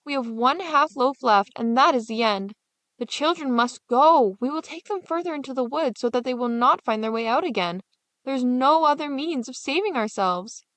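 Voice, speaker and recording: A woman voicing a hard, selfish stepmother from a fairy tale, bossy, sharp-tongued and scornful. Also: high-pitched, expressive, moderate pace, dry recording, voice pushed up. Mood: cold, insistent.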